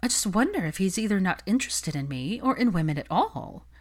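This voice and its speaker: A woman voicing a young, smug society gossip and husband-hunter in an audiobook, with an affected, bright, confiding manner. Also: mid-range voice, big pitch swings, brisk. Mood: curious, confiding.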